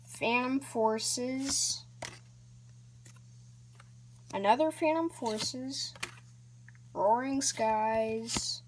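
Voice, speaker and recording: A woman hosting a casual card-opening vlog, talking in a hesitant, rambling way with many ums and restarts, sometimes dropping to a soft whisper. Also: a little high, extremely slow, long pauses, a little hiss or hum. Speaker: channel host.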